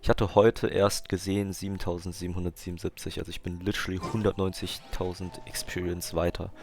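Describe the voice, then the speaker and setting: Low-voiced young man, casual gaming commentary. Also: level tone, fast talker, darkish tone, soft background music. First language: German. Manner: rambling, mixes in English gaming terms. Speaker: gaming streamer.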